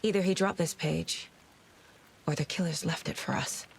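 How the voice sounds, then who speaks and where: A woman acting an intuitive, composed FBI agent in a video game, speaking in an understated, deliberate way with clipped phrases. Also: sits low, creaky, slight background noise. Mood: focused, intrigued. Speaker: lead detective character.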